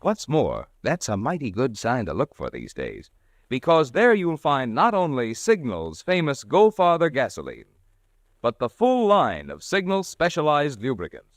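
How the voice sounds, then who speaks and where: A man's voice: mid-range voice, moderate pace, no room sound. A man reading a sponsor's commercial as a 1940s radio announcer, in a salesman-like manner. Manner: lively, salesman-like. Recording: dry old radio broadcast.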